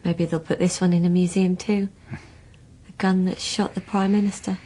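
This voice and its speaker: A woman's voice: lowish voice, level tone, normal speed, mellow, a little hiss or hum, lightly acted. An actress playing a serious, subdued young woman under police questioning in a radio drama. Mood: matter-of-fact. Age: young adult. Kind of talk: naturalistic radio drama acting.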